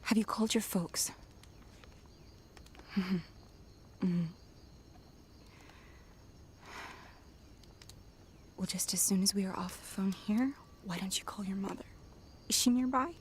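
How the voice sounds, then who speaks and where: A middle-aged actress playing a caring, steady aviation wife in a period radio drama, gentle and reassuring. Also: female voice, mid-range voice, moderate pace, long pauses, slight background noise, lightly acted. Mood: gentle concern.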